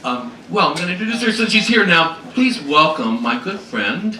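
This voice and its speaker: A man's voice: a little high, big pitch swings, moderate pace. A man hosting a classical evening and introducing a guest singer, rambling and hesitant with many fillers. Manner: rambling, hesitant, warm banter. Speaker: host and pianist. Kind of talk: casual onstage introduction. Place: small salon performance venue. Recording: roomy, distant, noisy live room.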